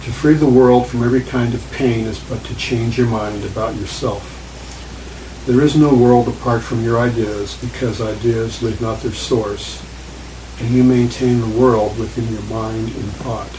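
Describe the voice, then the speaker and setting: A man reading a passage from a spiritual book aloud to a group, measured and even. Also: mid-range voice. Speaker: reader in study group. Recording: distant, echoey, noisy.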